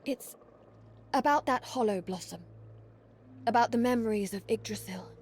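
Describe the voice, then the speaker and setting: A woman voicing a dutiful young warrior maiden in a video game, speaking formally and earnestly in a measured way. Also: on the high side, a little mellow, slight background noise, close to an everyday voice. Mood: earnest, troubled. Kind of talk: video game cutscene acting.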